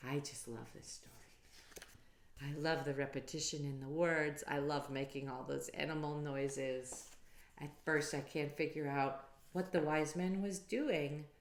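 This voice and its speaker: A woman reading a children's story aloud in an expressive, deliberate way. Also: low voice, slight background noise. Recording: roomy, echoey sound.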